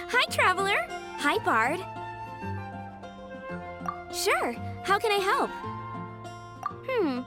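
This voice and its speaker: A sweet little flower girl for a video game. The voice is extremely high and childlike, with innocent, dreamy phrasing that bounces around in pitch over a clear bed of music. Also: sing-song, drawn-out words, pauses often, full character voice. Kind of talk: animated video game character acting.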